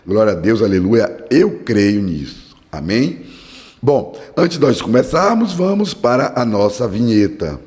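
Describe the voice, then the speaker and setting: Brief: hoarse male Bible teacher, fervent preaching cadence, long reverberant hall. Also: lowish voice, big pitch swings, measured pace, darkish tone. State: hoarse from a cold. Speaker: Bible study podcast host. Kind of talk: devotional teaching with prayer.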